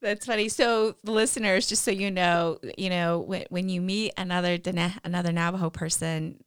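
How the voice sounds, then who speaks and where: A woman's voice: on the low side, fairly even intonation, moderate pace, clear, resonant tone, no echo, studio quality. Chatty woman hosting a radio interview. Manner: friendly and chatty, talks to listeners directly. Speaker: host.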